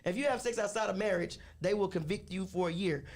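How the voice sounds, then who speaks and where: Fast-talking laughing male podcast co-host. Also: high-pitched. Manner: animated, laughing, swearing freely. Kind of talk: casual chat.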